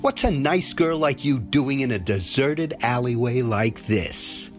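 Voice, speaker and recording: A menacing gang leader, acted by a man, taunts in a cocky drawl for an audio drama. The delivery is slow with lively swings of pitch, over faint music. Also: lightly acted. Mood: taunting menace.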